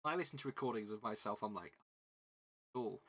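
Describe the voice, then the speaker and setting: A man's voice: lowish voice, expressive, fairly quick, a bit bright. A man with a southern British English accent chatting casually as a livestream host, rambling and self-deprecating, often repeating his words. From southern England. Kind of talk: casual livestream chat while building a model kit.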